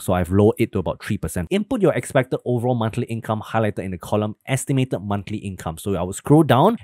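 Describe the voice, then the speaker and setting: A young man talking through a spreadsheet tutorial step by step, conversational, often adding a quick "right?" at the end of a point. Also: lowish voice, a little mellow, no room sound, studio quality. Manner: explains step by step, quick and conversational with tag questions. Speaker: finance educator. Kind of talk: screen walkthrough tutorial.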